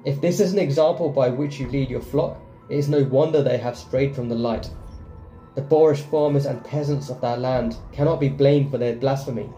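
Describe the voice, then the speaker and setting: A male gaming host with a very dark, soft-edged voice at a medium pitch. He reads game text aloud and comments casually, in an echoey room with faint music behind him. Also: fairly even intonation, moderate pace.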